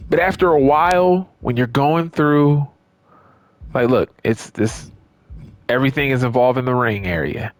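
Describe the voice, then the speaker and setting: A man's voice: medium pitch, measured pace, no echo. A man giving casual, off-the-cuff commentary on a video game, emphatic and repeating words for stress. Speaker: gaming video creator.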